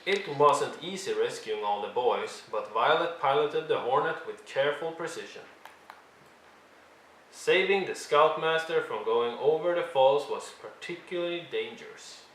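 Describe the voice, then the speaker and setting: A man narrating a picture book for young children in an expressive read-along style, with deliberate, dramatic emphasis. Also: fairly high pitch. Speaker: storyteller reading a picture book aloud. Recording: roomy echo, faint background noise.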